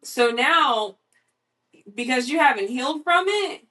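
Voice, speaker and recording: A woman in her twenties co-hosting a casual podcast, talking bluntly and candidly in a fairly even tone. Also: sits high, measured pace, recorded from a distance. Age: 20s. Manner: blunt, candid, says she sounds monotone. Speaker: podcast co-host. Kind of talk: casual chat.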